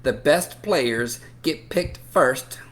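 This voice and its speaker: A man reading a picture book aloud to children in an expressive, animated way with drawn-out emphasis. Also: medium pitch, a little hiss or hum. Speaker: children's read-aloud storyteller.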